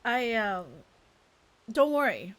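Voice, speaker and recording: A woman's voice: a little high, expressive, slow, fairly bright tone. A young woman livestreaming a game, chatting playfully and casually as she reacts aloud. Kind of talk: casual gaming stream chat. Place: at home, live stream. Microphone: streaming microphone.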